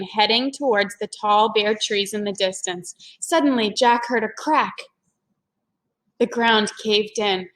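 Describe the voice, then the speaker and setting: A woman's voice: on the high side, fairly bright tone, low-quality recording. A woman reading a children's story aloud in a teacherly way, explaining things to young listeners. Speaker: teacher-like read-aloud reader.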